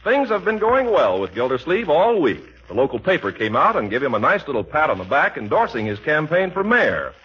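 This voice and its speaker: A man announcing a 1940s radio show in a polished, emphatic sponsor-pitch style. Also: very animated, a little fast, hardly any pauses. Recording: muffled, raspy 1940s radio recording.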